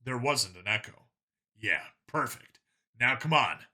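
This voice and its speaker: A man's voice: mid-range voice, expressive, unhurried, bright, forceful tone, voice pushed down, exaggerated voice. A man voicing a guarded, earnest male cop in an audiobook, speaking in a subdued, hesitant manner. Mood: matter-of-fact. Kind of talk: audiobook character dialogue.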